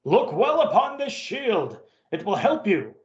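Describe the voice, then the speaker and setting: A man's voice: high-pitched, huge pitch swings, measured pace, echoey room, highs cut off, voice pushed up, heavily acted. A man voicing a solemn, earnest and secretive old Viking smith in a dramatic comic-book story reading.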